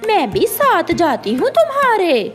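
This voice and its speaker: A woman's voice: very high-pitched, huge pitch swings, measured pace, soft background music, exaggerated voice. A woman voicing a quarrelsome, complaining female crow wife in a cartoon, with a whiny manner. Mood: irritated. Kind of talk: Hindi cartoon dialogue.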